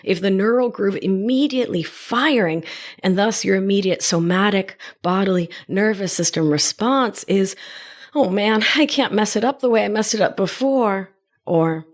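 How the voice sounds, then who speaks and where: A woman's voice: mid-range voice, moderate pace. Playful woman coaching listeners. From Argentina. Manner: playful and affectionate, with pet names and asides. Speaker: podcast host and life coach. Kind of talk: warm coaching monologue.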